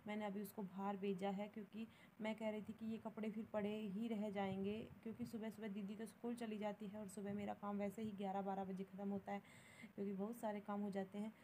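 Young woman vlogger, mellow and even voice-over, plain and matter-of-fact, slight background noise. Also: medium pitch, brisk, rough recording. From Haryana, India. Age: young adult. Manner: plain, matter-of-fact, mixing in English words. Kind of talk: casual voice-over narration of daily routine.

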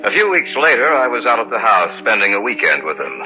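A man's voice: lowish voice, sing-song, sharp tone, muffled recording, faint music behind, heavily acted. An actor playing an earnest young man who recounts an eerie tale in grave old-time radio style. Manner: grave, dramatic, deliberate. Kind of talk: old-time radio drama narration and acting.

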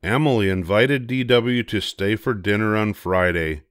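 An older man reads a children's book aloud. His voice is on the low side and expressive, captured with very little room sound. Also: moderate pace, dry recording, studio quality. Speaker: storybook reader.

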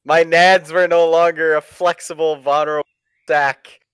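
A man's voice: on the high side, level tone, normal speed, a bit bright, smooth, dry recording. Crude, profane young man chatting. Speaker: streamer telling stories. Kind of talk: casual chat.

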